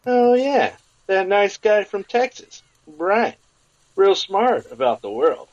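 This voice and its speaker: A man voicing a goofy, boozy, defensive cowboy gunslinger in an audio story, with excitable delivery. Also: high-pitched, slow, highs cut off, pitched above the performer's own voice, exaggerated voice. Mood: defensive, boastful.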